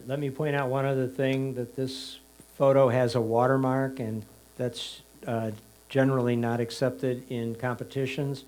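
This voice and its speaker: A man of middling pitch works as a competition announcer. He reads out entries and results in a plain style. A faint electrical hum runs underneath. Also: flat delivery, normal speed, a little mellow, poor audio. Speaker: competition announcer reading titles and scores.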